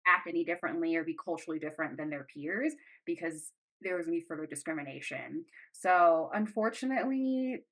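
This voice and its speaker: Female podcast host, slightly low register, thoughtful conversational delivery. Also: brisk, hardly any pauses, a bit bright, low-quality recording. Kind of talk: reflective conversational podcast talk.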